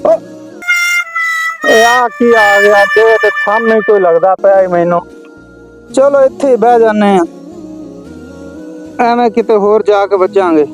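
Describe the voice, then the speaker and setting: A man acts out an old village father in a moral-story drama. His voice is high, with a Pakistani Punjabi accent. He sounds devout, trusting and resigned as he sighs out his words, with music clearly playing behind him. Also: measured pace, long pauses. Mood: weary, puzzled. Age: older. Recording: music laid over the dialogue.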